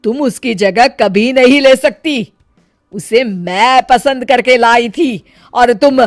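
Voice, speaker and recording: A woman voicing a bossy middle-aged mother-in-law in an acted Hindi story, sharp and commanding. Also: fairly high pitch, takes it slowly, no echo, studio quality, faint music behind, voice pushed up. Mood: scolding, angry.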